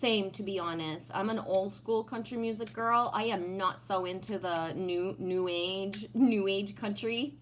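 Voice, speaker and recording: A woman's voice: medium pitch, measured pace, few pauses, slight background noise. A woman in her fifties from Texas, hosting a casual livestream, teasing playfully, laughing often and reading out chat comments. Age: 50s.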